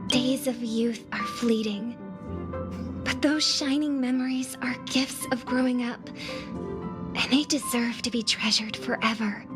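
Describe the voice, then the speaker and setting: An actress playing a gentle, wistful young singer in an anime game, with a soft, tender, breathy delivery. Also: female voice, a little high, steady tone, measured pace, music in the background, natural voice. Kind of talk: scripted anime game voice acting.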